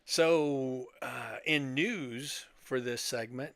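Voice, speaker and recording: A man's voice: mid-range voice, extremely slow, a bit bright, no room sound. A middle-aged man chatting casually as a podcast host, rambling and jokey. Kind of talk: casual chat.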